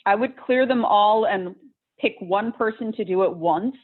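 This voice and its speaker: A woman of average pitch talks casually and instructively during an online tabletop game. Her audio is narrow and phone-like, as she helps the group with the software. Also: unhurried. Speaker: game participant helping with the software.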